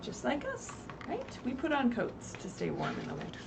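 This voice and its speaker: A woman reading aloud expressively at a children's story time, pausing to put simple questions to her young listeners. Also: high-pitched, wildly swooping pitch, creaky. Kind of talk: animated read-aloud with asides to young children. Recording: distant mic, faint background noise, livestream.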